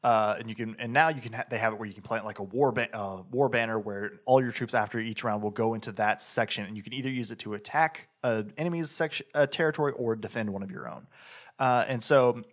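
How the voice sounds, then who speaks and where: A man's voice: medium pitch, fast. A man co-hosting a podcast, reviewing a game in casual chat, talking in a rambling way with lots of fillers and restarts. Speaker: podcast co-host.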